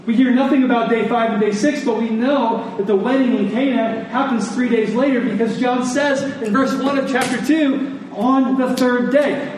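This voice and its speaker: A man preaching a sermon in a conversational, deliberate way, telling stories and explaining scripture, pausing and repeating phrases for emphasis. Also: extremely high pitch, fairly even intonation, a little mellow. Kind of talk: sermon with storytelling and scripture exposition. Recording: echoey room, distant mic, faint noise.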